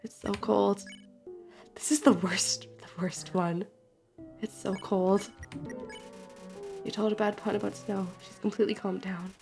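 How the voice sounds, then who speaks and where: A young woman voicing a game playthrough in an expressive way, reacting out loud as she goes. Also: mid-range voice, measured pace, gravelly, long pauses. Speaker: fan voice actor. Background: faint game music.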